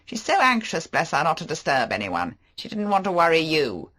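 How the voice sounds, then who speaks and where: A reader voicing a brash, overfamiliar man who talks loudly and jovially in an audiobook. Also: medium pitch, huge pitch swings, a little fast, pitched above the performer's own voice, full character voice. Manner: loud, jovial, teasing. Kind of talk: audiobook character voice.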